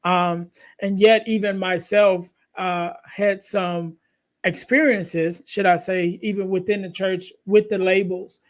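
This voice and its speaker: Soft-voiced man hosting a podcast. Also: sits high, takes it slowly. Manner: animated, frequent "you know what I mean". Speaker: podcast host. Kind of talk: casual conversational interview.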